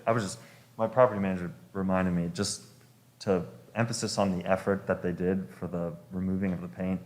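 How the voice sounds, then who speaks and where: Young male homeowner, low voice, addressing a board politely, a little unsure, plain informal delivery. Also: flat delivery, brisk, a little mellow. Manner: polite, deferential, hesitant. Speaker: homeowner applicant at a public hearing. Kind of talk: informal public testimony. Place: public meeting room.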